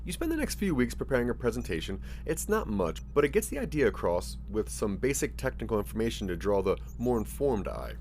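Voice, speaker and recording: A man's voice: medium pitch, big pitch swings, a little fast, hardly any pauses, darkish tone, slight background noise. A man narrating a scripted interactive audio story in the second person, with a theatrical storytelling delivery.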